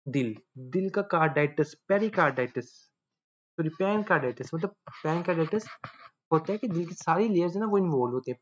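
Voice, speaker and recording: A man giving a casual medical lecture, often pausing to check that listeners follow. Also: on the high side. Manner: chatty, checks understanding often. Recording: dull lo-fi audio.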